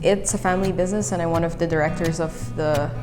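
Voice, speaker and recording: A woman's voice: fairly low pitch, steady tone, fast talker. A woman with a Filipino accent, a company director speaking hesitantly with many fillers in a documentary interview. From Philippines. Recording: long room reverb with soft music.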